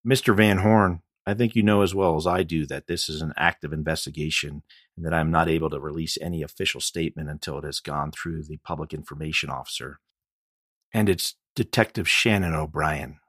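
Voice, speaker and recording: A man's voice: extremely low pitch, brisk, a bit bright, dry recording, clean studio sound. A man reading the part of a cool, firm female detective in her forties in an audiobook, wry and official. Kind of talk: audiobook character dialogue.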